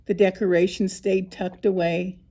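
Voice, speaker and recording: A woman narrating a scripted children's story, with expressive, deliberate phrasing and dramatic pauses. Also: lowish voice. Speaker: storybook reader.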